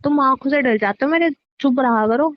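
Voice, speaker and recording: A woman's voice: high voice, fairly quick, natural voice. A young woman playing a playful, coaxing newlywed wife in casual, teasing audio-drama dialogue. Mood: playfully defiant. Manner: teasing, informal. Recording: dull lo-fi phone-call style audio.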